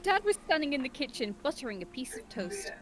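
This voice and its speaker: A woman reading aloud as a shy, flustered teenage schoolgirl in a visual novel, expressive and earnest. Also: sits high, a little fast, a little hiss or hum. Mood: earnest narration.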